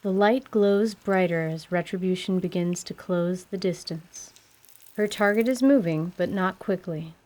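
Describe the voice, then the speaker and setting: A woman narrating a scripted fantasy tale in a measured, literary radio theatre style. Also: lowish voice, a little mellow. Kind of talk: scripted descriptive story narration. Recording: faint background noise.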